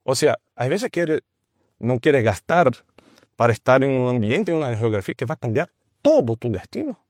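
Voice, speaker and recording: Brief: Brazilian man, non-native Spanish, halting delivery, dry close sound. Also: mid-range voice, sing-song, darkish tone, dry recording. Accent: Brazilian Portuguese. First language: Portuguese. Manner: halting, with restarts and searching for words. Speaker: guest, teacher of a ministry. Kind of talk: conversational testimony.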